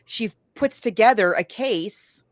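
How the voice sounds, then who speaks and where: A woman's voice: medium pitch, expressive, slow, dry recording. A woman podcast co-host casually recapping a film, thinking aloud and backtracking as she goes, slipping into casual quoted asides. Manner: acts out characters' lines in casual asides.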